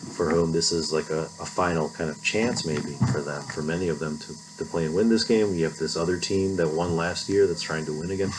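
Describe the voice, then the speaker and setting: A man's voice: deep voice, background noise. A middle-aged man giving an informal recorded philosophy lecture in a conversational way, often checking in with "right?".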